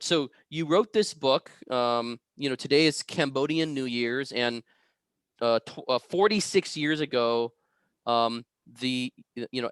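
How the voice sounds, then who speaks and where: A man from the United States hosting an informal online interview as the interviewer, speaking in a halting, hesitant way. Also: mid-range voice, fairly bright tone, clean tone, no room sound.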